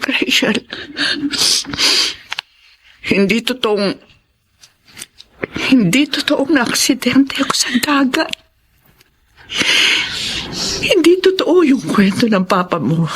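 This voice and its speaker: An actress playing a middle-aged, guilt-ridden Filipino mother in a radio drama, speaking haltingly and tearfully. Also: fairly high pitch, expressive, a little hiss or hum. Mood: anguished, remorseful. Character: remorseful mother confessing to her abandoned daughter.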